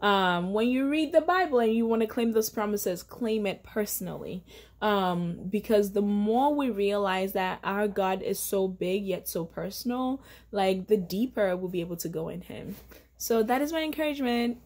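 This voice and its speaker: A woman's voice: medium pitch, animated, normal speed, darkish tone. Earnest chatty young woman vlogging. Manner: chatty and earnest, many fillers and self-corrections. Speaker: faith vlogger. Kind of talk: casual devotional vlog. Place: indoor living room at home.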